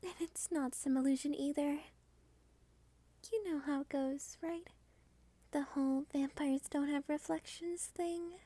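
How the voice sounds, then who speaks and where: Woman playing a high, soft, slow-talking vampire girl. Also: fairly even intonation, no echo. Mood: teasing, confiding. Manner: soft-spoken, polite, teasing, deliberate. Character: cute dominant female vampire, polite, coy, quietly possessive. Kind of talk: ASMR roleplay.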